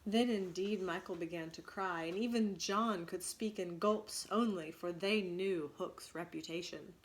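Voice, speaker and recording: A woman reads a children's story aloud as a teacher would. Her delivery is expressive and unhurried, and she pauses to put questions to her young listeners. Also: on the low side, rough recording. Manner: expressive, pausing to ask questions. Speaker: teacher reading aloud to students. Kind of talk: storybook read-aloud narration with asides to children.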